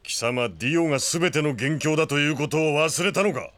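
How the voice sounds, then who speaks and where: An actor playing a stern, proud comrade in an audio drama, grave and reproving. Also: male voice, on the high side, brisk, a bit bright, dry recording, lightly acted. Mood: stern, indignant. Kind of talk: Japanese anime-style audio drama acting.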